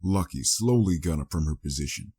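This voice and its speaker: A man's voice: low-pitched, lively intonation, fairly quick, dark tone, breathy edge. A man reading a scripted story as a dramatic audiobook narrator.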